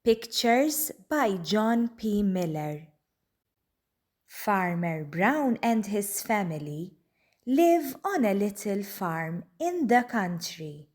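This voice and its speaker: A woman narrates a picture book for young children. She takes it very slowly, with plenty of lift and fall in her voice. Her delivery is deliberate and expressive, at a middle pitch. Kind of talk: read-aloud storybook narration.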